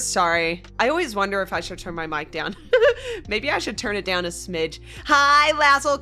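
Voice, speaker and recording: A woman's voice: on the high side, bright, forceful tone. A young woman streaming from home, chatting casually with viewers, greeting them by name and often breaking into giggles. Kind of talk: casual chat with live viewers. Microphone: desk mic, loud.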